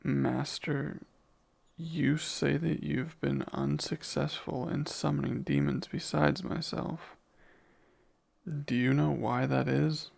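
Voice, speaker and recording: A man playing a devoted, jealous and menacing demon in an ASMR romance roleplay, speaking in formal, deliberate phrases with a gravelly voice. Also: mid-range voice, fairly even intonation, darkish tone, exaggerated voice. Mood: devoted, possessive.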